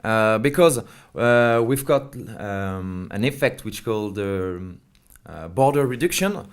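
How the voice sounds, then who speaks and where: A man's voice: lowish voice, animated, takes it slowly, clear, resonant tone. Man explaining a product. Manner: hesitant non-native English phrasing. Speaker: company representative being interviewed.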